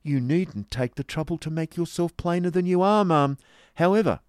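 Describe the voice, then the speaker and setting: A man's voice: fairly low pitch, very animated, unhurried, dark tone, dry recording, clean studio sound, pitched above the performer's own voice. A man voicing a pert, vain young woman with an affected, mockingly polite manner in a novel reading. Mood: taunting. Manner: affected, taunting, prim.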